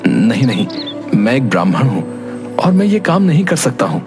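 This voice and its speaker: A man playing an honest, humble Brahmin bullock-cart driver in a moral-story cartoon, speaking earnestly and deliberately. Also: mid-range voice, sing-song, over music, close to an everyday voice. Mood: hesitant. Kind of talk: acted Hindi moral-story cartoon dialogue.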